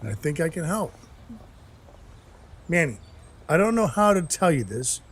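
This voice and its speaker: A man's voice: a little high, very animated, a little slow, slightly rough, heavily acted. A man playing a brash, wisecracking dwarf who is Santa's helper, narrating and acting in an audio play with blunt, wry phrasing. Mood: urgent, blunt. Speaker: narrator and lead character.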